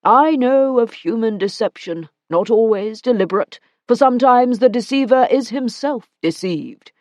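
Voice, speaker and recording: An old abbot, measured and deliberate in his speech, as a woman reader puts him on. Her tone is mellow and the sound is dry. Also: on the high side, expressive, dry recording, voice pushed up, close to an everyday voice. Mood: thoughtful.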